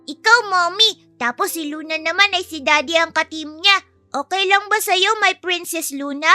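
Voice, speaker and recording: A woman voicing a caring, polite young boy, an earnest and gently bossy big brother in an audio story. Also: extremely high pitch, moderate pace, faint music behind, voice pushed up, heavily acted.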